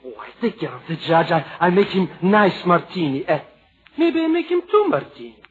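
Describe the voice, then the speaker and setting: Excitable high-voiced foreign uncle. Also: male voice, fairly quick, over music. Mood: flustered. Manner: broken English, fussing and stammering. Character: excitable, genial foreign uncle, fond schemer with broken English. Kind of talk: 1940s radio comedy acting. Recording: muffled, hissy old radio broadcast.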